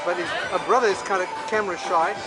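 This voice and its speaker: A man with a high voice runs cheerful street interviews, joking and teasing the people he stops. He is recording outdoors at night, and a dense, loud crowd roars behind him. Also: steady tone, quick pace, over loud music, low-quality recording. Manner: playful, teasing, upbeat. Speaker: vlog host interviewing passers-by.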